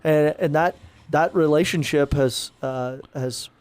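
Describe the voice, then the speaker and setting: A man's voice: on the high side, a little slow, dry recording. A man, a parish priest chatting casually on a podcast, rambling with lots of fillers and restarts.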